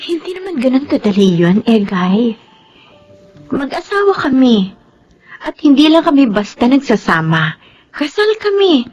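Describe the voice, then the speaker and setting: An actress playing Abi, a young wife who cannot have children, speaking softly and hesitantly. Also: female voice, high voice, very animated, measured pace, pauses often, highs cut off, faint music behind. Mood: hurt. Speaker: Abi, married woman. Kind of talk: Tagalog drama acting.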